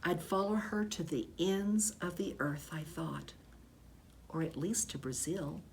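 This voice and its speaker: A woman reading a children's book aloud in expressive storybook narration, like a teacher. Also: fairly low pitch, unhurried, a little hiss or hum.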